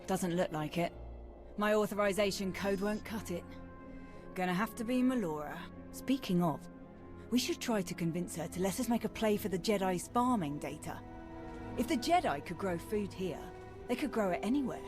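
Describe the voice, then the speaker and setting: A woman's voice: mid-range voice, animated, moderate pace, lightly acted. An actress playing a wry, battle-hardened female major in a video game, with dry, informal, clipped delivery. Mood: wry, businesslike. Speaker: military officer character in a video game. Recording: loud game music behind. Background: loud music.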